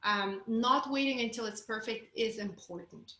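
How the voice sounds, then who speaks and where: A woman's voice: a little high, normal speed, a bit bright, roomy sound. A woman with a Russian accent coaching a group on a video call, candid and encouraging. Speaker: course coach.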